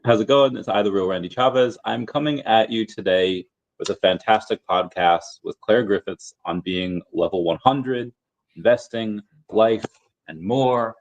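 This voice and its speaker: Deep American man hosting a podcast, dull lo-fi audio, halting friendly questions. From United States. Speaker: podcast host. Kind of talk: casual interview chat. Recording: dull lo-fi sound.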